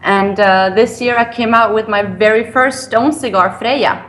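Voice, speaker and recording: A woman's voice: on the high side, a little slow, a bit bright. Hesitant young woman, guest on a call. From Sweden, raised in France. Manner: hesitant, many ums and restarts. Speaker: guest, cigar company founder. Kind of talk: interview over a call.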